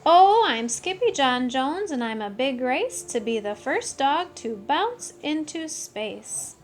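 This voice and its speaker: A woman voicing an excitable young boy kitten who fancies himself a chihuahua and sprinkles his talk with Spanish words. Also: high-pitched, slow pace, full character voice. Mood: excited, boastful. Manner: exclamatory, peppering speech with Spanish words.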